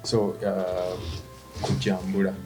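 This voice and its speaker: A middle-aged Ugandan man with a Ugandan accent, answering interview questions in a halting way, often restarting words. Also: low-pitched, music in the background. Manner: halting, restarts words, quiet then rising when animated. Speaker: guest, event company founder.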